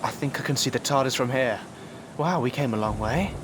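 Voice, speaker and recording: A man's voice: mid-range voice, a little fast, background noise, natural voice. A man playing a brooding, analytical time-travelling alien in an audio drama, explaining things in a formal, commanding way. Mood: explanatory.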